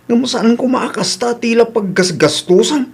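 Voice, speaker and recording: A man's voice: extremely high pitch, normal speed, gravelly, slightly rough, exaggerated voice. Sceptical, thrifty young husband acted by a man, Ilocano accent, grumbling, radio comedy drama. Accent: Filipino (Ilocano).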